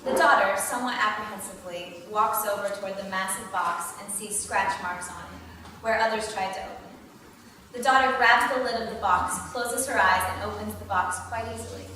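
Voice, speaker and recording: A woman's voice: mid-range voice, moderate pace, bright, forceful tone. A woman reading scripted stage directions as narrator at a table read. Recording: echoey hall, distant mic, clear background noise.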